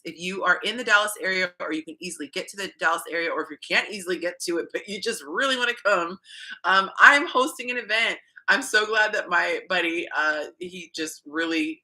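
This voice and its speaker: A woman's voice: low-pitched, sharp tone. A young woman from California, hosting a casual livestream, chatting with viewers and reading their comments aloud with frequent ums. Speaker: dating advice host.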